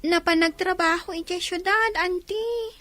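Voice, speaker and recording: A woman's voice: very high-pitched, unhurried, bright, forceful tone, dry recording, faint music behind, heavily acted. A woman playing a polite, earnest young schoolgirl and little sister in a radio drama. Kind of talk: Ilocano radio drama acting.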